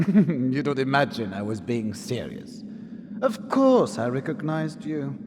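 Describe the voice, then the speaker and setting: A man's voice: medium pitch, very animated, slow pace. Theatrical, wildly swooping arrogant nobleman. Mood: sneering. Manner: aristocratic, theatrical diction. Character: arrogant, cruel male dark-fantasy nobleman. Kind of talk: video game cutscene acting. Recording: long hall reverb, background noise.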